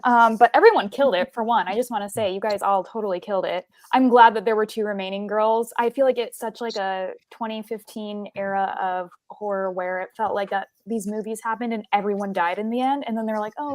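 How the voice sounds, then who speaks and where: Female panelist, medium pitch, informal, chatty, conversational. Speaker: panel participant. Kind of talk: casual chat.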